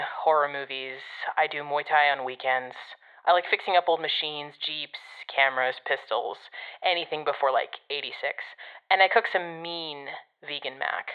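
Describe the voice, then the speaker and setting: A woman playing a blunt, sardonic coworker in an audio drama, deadpan and sweary, heard through a radio filter. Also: sits low, clear, resonant tone, narrow, tinny audio. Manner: deadpan, sarcastic, casually sweary. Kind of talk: conversational audio drama acting.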